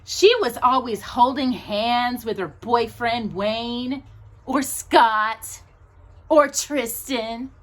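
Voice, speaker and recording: A woman hosting a children's storytime, reading a picture book with playful, drawn-out emphasis and chatty asides to a companion. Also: fairly high pitch, fairly bright tone. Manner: addresses a companion directly while reading.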